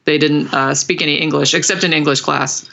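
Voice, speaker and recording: Chatty woman podcaster, bright voice, kinda rambly, giggles a lot. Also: sits low, fairly even intonation, brisk, vocal fry, slight background noise. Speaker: podcast co-host. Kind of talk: casual podcast chat.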